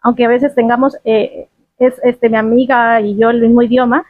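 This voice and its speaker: A chatty Mexican woman guest with a high, bright voice talks lively and laughs easily. She comes through a tinny, phone-like line that sounds dry and close. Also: moderate pace, no echo. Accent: Mexican Spanish. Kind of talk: casual interview chat.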